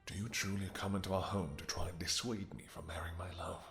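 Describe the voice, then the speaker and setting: A man's voice: deep voice, steady tone, a little fast, vocal fry, a bit bright, faint music behind. A man voicing a doting, possessive, brooding vampire king who speaks in formal, archaic, theatrical phrases in a romantic fantasy audio roleplay. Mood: haughty, menacing.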